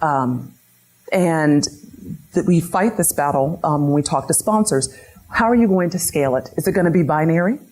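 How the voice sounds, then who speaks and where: A woman's voice: sits low, moderate pace, darkish tone. A woman giving an academic lecture, methodically posing a string of questions to her audience. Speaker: lecturer on patient-reported outcomes. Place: lecture room.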